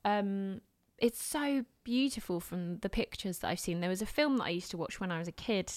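A woman's voice: medium pitch, expressive, normal speed, a little mellow, dry recording. A woman in casual chat as a co-host, teasing and easygoing. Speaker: podcast co-host.

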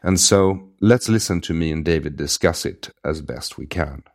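Very deep-voiced Swedish-accented man. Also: moderate pace, darkish tone. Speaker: podcast host. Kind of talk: read intro then casual conversation.

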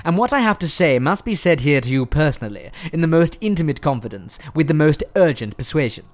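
An actor playing a grandiloquent, earnest English Prime Minister in a comic radio play, self-dramatising and declamatory. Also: male voice, a little high, animated, fairly quick, lightly acted. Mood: earnest, confidential.

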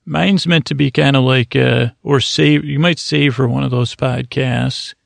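A man with a heavily gravelly, fry-laden voice rambles through a self-deprecating monologue that is meant to lull listeners to sleep. His pitch stays flat and even. The recording is dry and close, with no room sound. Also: measured pace, dry recording. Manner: meandering, self-deprecating, full of restarts. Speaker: sleep podcast host. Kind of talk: rambling monologue for falling asleep.

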